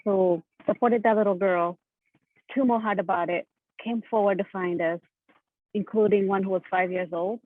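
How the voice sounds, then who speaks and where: A woman's voice: medium pitch, steady tone, normal speed, no echo. Woman on a tinny call line. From East Africa. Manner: earnest, personal storytelling. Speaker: panelist, therapist and founder. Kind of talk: panel interview answers. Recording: tinny phone-like video call audio.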